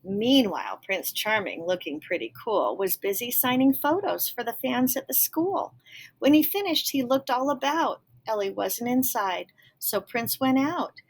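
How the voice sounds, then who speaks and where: A woman's voice: fairly high pitch, animated, measured pace, husky. A woman reading a children's picture book aloud expressively, with explanatory asides to young listeners. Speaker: storybook reader.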